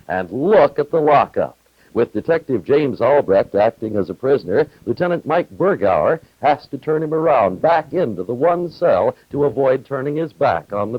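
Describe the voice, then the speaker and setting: A man narrating a scripted 1950s local television news report as its reporter. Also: mid-range voice. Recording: dull, roomy 1950s television film soundtrack.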